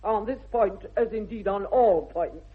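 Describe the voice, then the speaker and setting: A woman's voice: mid-range voice, a little slow, slightly rough. An actress playing a formidable older upper-class English mother in a 1960s radio comedy, haughty and declamatory, with precise articulation. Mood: imperious disapproval. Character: imperious, formidable aristocratic English mother and aunt. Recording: tinny, band-limited vintage radio recording.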